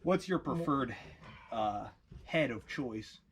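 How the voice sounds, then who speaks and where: Inquisitive male host over clear background noise. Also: medium pitch, lively intonation, takes it slowly. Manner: inquisitive, encouraging. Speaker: host and interviewer filming the job. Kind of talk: casual chat, asking questions. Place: job site in an old building. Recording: noisy job-site camera audio.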